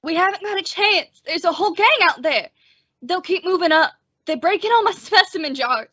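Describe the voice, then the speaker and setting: A woman's voice: extremely high pitch, expressive, normal speed, sharp tone, no room sound, highs cut off, exaggerated voice. A woman playing a timid, anxious male townsman in an old-time radio western, speaking earnestly. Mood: panicked.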